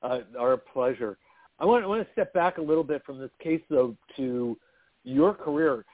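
Halting radio host on thin audio. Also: male voice, medium pitch, dry recording. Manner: halting, restarts questions. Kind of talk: radio interview. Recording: dry phone-like sound.

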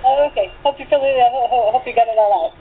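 A woman's voice: on the high side, fast. A woman talking informally in a casual group chat. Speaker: radio play actor and writer. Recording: tinny phone-like line with clear noise.

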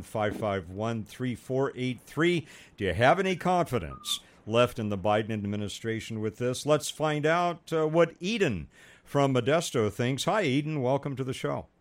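A man hosting a radio talk show, earnest and measured, with frequent pauses. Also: mid-range voice.

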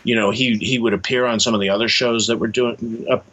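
A man speaking as an author being interviewed, in a halting, thoughtful, conversational way. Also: lowish voice, fairly even intonation, fairly bright tone, breathy edge. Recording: dull, muffled lo-fi audio.

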